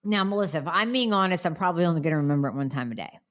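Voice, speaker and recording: A woman's voice: lowish voice, lively intonation, racing. A woman doctor hosting a podcast, answering listener questions in a blunt, emphatic way with sarcastic asides, sounding nasal as she gets over a cold. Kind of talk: solo podcast Q&A.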